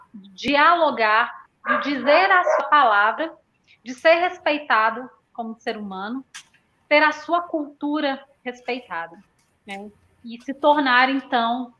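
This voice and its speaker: A Brazilian woman in her thirties speaking reflectively in a conversational panel discussion, often punctuating her points with tag questions. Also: sits high. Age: 30s. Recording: dull lo-fi audio.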